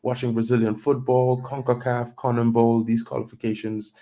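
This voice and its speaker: A man's voice: mid-range voice, level tone, moderate pace, mellow. A man from Trinidad and Tobago hosting a casual football news show, chatty and loose, thinking aloud with plenty of "you know".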